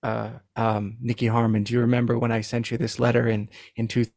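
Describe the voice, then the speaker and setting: A man speaking as a podcast guest in casual conversation, halting and repeating words, with a self-deprecating manner. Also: medium pitch, monotone, gravelly, a little mellow, no echo, clean studio sound. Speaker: podcast guest, literary translator.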